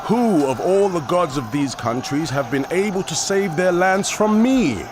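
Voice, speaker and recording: Audio drama casting: menacing, arrogant ancient commander, mocking declamation, very animated pitch, noisy outdoor ambience. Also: male voice, a little slow. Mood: loud, defiant proclaiming. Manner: declamatory, mocking, forceful oratory. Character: arrogant, taunting Assyrian military commander, booming and menacing. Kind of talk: dramatized audio scripture acting.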